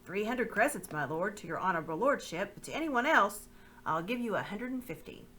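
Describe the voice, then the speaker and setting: A woman's voice: medium pitch, animated, brisk, fairly bright tone, a little hiss or hum, natural voice. A woman voicing a coarse male slave-dealing pirate who talks in a fawning, wheedling, ungrammatical way. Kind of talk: storybook reading.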